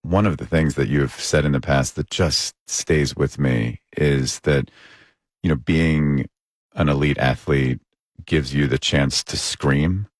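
A man with an extremely low voice works as an interviewer, phrasing gentle questions tentatively and with pauses. The sound is close and dry, with very little room around it. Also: no echo. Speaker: interviewer, sports show host.

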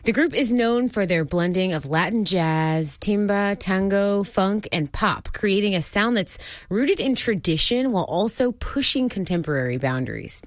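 A woman hosts a radio talk show and reads a polished introduction. Her pitch moves a lot. The studio sound is dry and close. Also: expressive, moderate pace, no echo. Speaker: guest host.

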